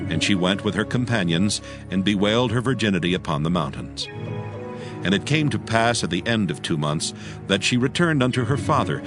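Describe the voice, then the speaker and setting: A man narrating scripture in archaic English in a solemn, measured manner. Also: on the low side.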